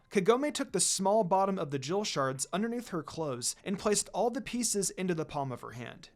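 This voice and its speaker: A man narrates a fan fiction story aloud, his voice sitting a little high and moving freely up and down. He softens and quiets his delivery whenever a character speaks. Faint music plays underneath him. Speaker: fanfiction reader.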